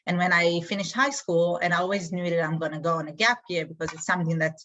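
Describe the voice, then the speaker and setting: A woman with an Israeli accent, answering interview questions in a thoughtful way with many pauses. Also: lowish voice, steady tone, fairly bright tone. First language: Hebrew. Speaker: guest, community emissary.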